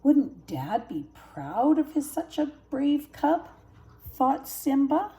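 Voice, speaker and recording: A woman's voice: high-pitched, big pitch swings, slow, creaky, mellow. An older woman, a grandmother, narrating a children's story. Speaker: grandmother reading stories.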